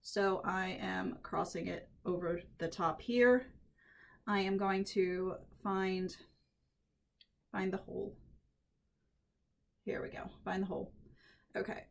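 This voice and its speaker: A woman at home, a little croaky from allergies, chatting through a head-wrap tutorial and thinking aloud as she works. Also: medium pitch, steady tone, takes it slowly, long pauses. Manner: chatty, thinks aloud while working, frequent restarts. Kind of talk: casual hands-on tutorial.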